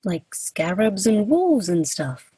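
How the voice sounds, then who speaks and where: A woman acting Death in a radio play as a formal, literal, reserved figure with stiff, measured diction. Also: mid-range voice, big pitch swings, dark tone, husky, exaggerated voice.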